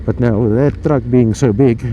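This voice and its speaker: A man's voice: medium pitch, moderate pace, dark tone, highs cut off. A South African man chatting casually and rambling as he rides his motorbike through town, recorded on a helmet mic outdoors. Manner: rambling, chatty, with fillers and playful rhymes. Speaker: motovlogger. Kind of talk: casual motovlog chat while riding. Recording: noisy ride with road and wind noise.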